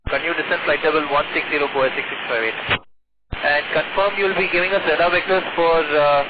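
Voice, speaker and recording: A man's voice: on the high side, steady tone, fast talker. A man, an airline pilot, making clipped, hesitant radio calls to air traffic control. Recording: noisy, tinny radio channel.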